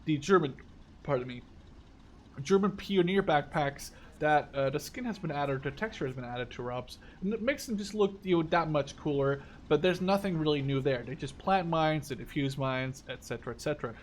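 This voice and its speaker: A man's voice: a little high, animated, moderate pace, slight background noise. A man in his twenties from the Netherlands giving casual, unscripted game commentary, rambling and thinking aloud. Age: 20s. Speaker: gaming YouTuber.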